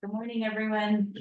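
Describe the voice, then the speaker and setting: Slightly raspy woman, distant and echoey. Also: medium pitch, phone-quality sound. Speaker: council delegate. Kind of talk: brief formal self-introduction. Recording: echoey room, far from mic.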